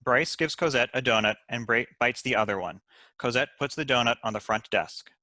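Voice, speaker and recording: A man narrates stage directions from a script. His mid-pitched voice is bright and forceful, recorded close and dry. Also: a little fast, dry recording. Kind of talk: scripted narration at a comedy table read.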